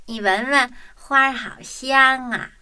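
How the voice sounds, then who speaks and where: A woman's voice: sits high, slow, music in the background. A woman voicing a cheerful, friendly young male country mouse in a children's storybook drama, eager and wide-eyed. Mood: warm, content.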